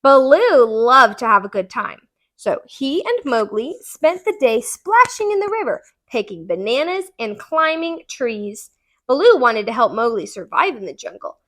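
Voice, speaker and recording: Woman, fairly high voice, children's read-aloud, expressive storyteller, deliberate phrasing. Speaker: tutor reading aloud.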